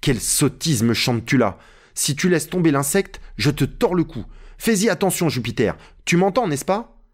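Man acting excitable bossy gentleman, pitched up high. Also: moderate pace, breathy edge. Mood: scolding, bossy. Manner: shouting orders, imperious. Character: excitable, bossy treasure hunter, agitated and impatient gentleman.

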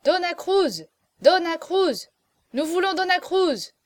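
A woman voicing rowdy drunken aristocratic revellers in a storybook, shouting demands and jeers. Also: extremely high pitch, sing-song, slow pace, voice pushed up, full character voice. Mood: rowdy, clamouring.

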